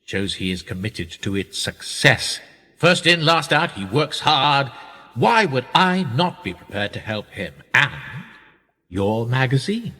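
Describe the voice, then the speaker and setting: A man's voice: medium pitch, big pitch swings, measured pace, pushed and bright, exaggerated voice. An actor playing a wealthy upper-class British businessman in a radio play, urbane, wry and self-mocking, with formal, rhetorical speech. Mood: genial, persuasive. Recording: reverberant room with long echo.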